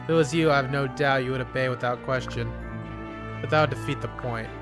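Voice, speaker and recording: An earnest young prince, read by a man, kind and direct in his words. The voice sits at an ordinary middle pitch. Game music runs behind him. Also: fairly even intonation, quick pace, lightly acted.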